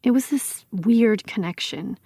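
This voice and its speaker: A woman playing a missing woman's protective, bitter best friend, talking in a casual, halting, emphatic interview style. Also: mid-range voice, warm tone, breathy edge, dry recording, studio quality. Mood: accusing, bitter. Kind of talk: acted documentary interview, conversational.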